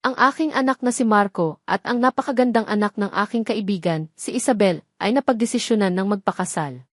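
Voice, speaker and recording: A woman's voice: medium pitch, brisk, no echo, clean studio sound, lightly acted. A woman voicing a proud, wealthy older family patriarch in a story, speaking in a formal, declamatory way.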